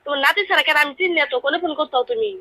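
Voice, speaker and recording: High, quick, indignant Bangladeshi village woman. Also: bright, forceful tone. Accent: rural Bangladeshi dialect. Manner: indignant, challenging, repetitive questioning. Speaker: prank call recipient. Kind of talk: heated phone conversation.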